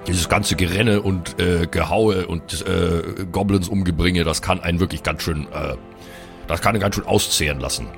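A man's voice: low voice, steady tone, moderate pace, creaky, faint music behind. A man playing a bookish, know-it-all dwarf in an improvised fantasy audio drama, wordy and lecturing. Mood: hungry, weary.

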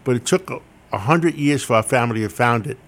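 A man's voice: medium pitch, expressive, fast, fairly bright tone. An older man, a Greek speaker from childhood, telling wry, self-deprecating stories as an interview guest. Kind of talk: interview storytelling.

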